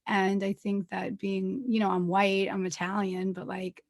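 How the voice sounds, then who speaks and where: A woman with a strong Boston accent hosting an interview, chatty and quick to laugh. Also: medium pitch, little pitch movement. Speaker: interview host. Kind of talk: casual interview chat.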